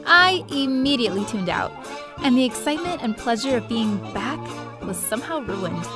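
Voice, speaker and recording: A woman's voice: on the high side, lively intonation, normal speed, music in the background, lightly acted. A woman playing a young space adventurer, telling her own story expressively in an audio drama. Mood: wry. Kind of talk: first-person audio drama narration.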